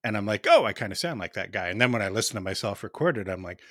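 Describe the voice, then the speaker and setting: A man hosting a podcast in casual chat, with a smooth voice and a rambling, laughing way of talking. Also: on the low side, animated, quick pace, a bit bright, dry recording, clean studio sound. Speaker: podcast host.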